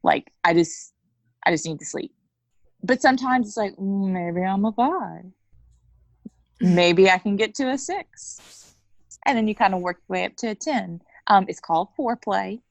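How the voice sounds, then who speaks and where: Woman, gravelly low-ish voice, frank and animated, dry close sound. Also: moderate pace, pauses often, no echo. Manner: frank, animated, acts out little dialogues. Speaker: coaching client, interview guest. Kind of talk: candid conversational interview.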